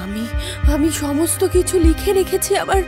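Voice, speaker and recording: A woman voicing an anguished, vengeful dying young woman in a horror cartoon, in halting, tearful gasps. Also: extremely high pitch, sing-song. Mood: anguished, weeping. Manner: halting, gasping, tearful delivery. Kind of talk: melodramatic cartoon horror voice acting. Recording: loud music under the voice.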